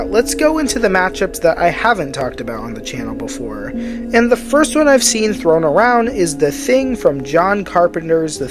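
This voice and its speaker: A video essay host giving scripted commentary, explaining personal opinions in a measured, qualifying way. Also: medium pitch.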